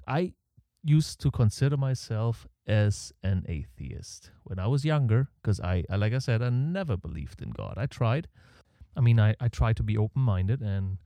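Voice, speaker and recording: A man's voice: fairly low pitch, warm tone, no room sound, clean studio sound. A man with a German accent hosting his own podcast, rambling and opinionated, with his breathing audible on the mic. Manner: rambling, opinionated, self-deprecating. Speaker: podcast host. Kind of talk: casual solo podcast monologue. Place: home setup in Canada. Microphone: close podcast microphone.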